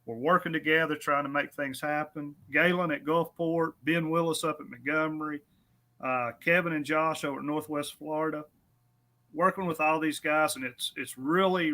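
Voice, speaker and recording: A man's voice: a little high, fairly even intonation, normal speed, bright, forceful tone. A man, a guest talking about his racetrack in an easygoing, self-deprecating, friendly way. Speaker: drag strip guest. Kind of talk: casual on-air interview.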